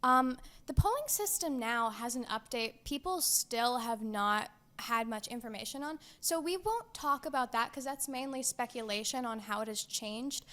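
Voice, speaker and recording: Animated, higher-voiced woman co-host. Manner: animated, laughing, playfully dramatic. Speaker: podcast co-host. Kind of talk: casual gaming chat.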